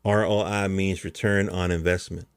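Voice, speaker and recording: A man's voice: low voice, flat delivery, normal speed, clear, resonant tone, no echo, studio quality. A middle-aged American man hosting a podcast, talking casually in a rambling, colloquial monologue and often checking in with the listener. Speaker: podcast host.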